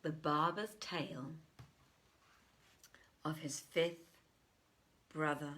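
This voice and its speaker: A woman narrating an old tale in an expressive, theatrical storybook style with deliberate phrasing. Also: deep voice.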